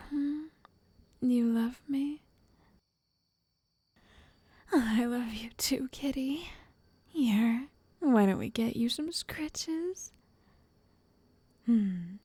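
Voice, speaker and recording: Breathy whispering witch, played by a woman, slow and doting. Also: on the high side, dry recording, full character voice. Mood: doting, affectionate. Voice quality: soft, breathy, largely whispered. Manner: slow, coaxing, intimate, addressing the listener. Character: possessive, doting yandere witch, sweetly menacing. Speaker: ASMR roleplay performer. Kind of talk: ASMR roleplay.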